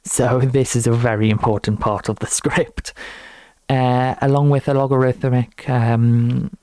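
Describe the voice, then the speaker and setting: A man's voice: mid-range voice, little pitch movement, a little slow, a little mellow, no room sound. A young man giving a casual, unscripted walkthrough of his own project in an informal, rambling, lightly amused way. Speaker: student game developer presenting own project.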